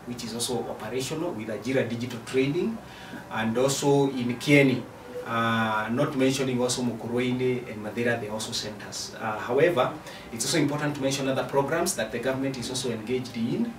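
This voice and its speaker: A middle-aged man with a Kenyan accent speaks as a government official in a formal, halting interview style. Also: medium pitch. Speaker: county youth affairs director. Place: indoor room with bare brick wall. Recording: roomy, distant mic, faint noise.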